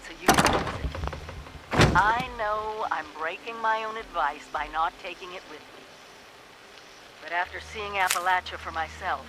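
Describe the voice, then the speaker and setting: An actress playing a resolute, caring woman overseer, speaking in a measured, earnest way on a video game audio log. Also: lowish voice, natural voice. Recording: audio log with loud background noise.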